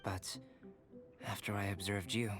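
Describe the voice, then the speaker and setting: A man playing a reserved young alchemist in a video game, speaking in a measured, formal, contemplative way. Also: fairly low pitch, flat delivery, a bit bright. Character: calm, scholarly young male alchemist, reserved and enigmatic. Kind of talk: video game character acting. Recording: game music behind the voice.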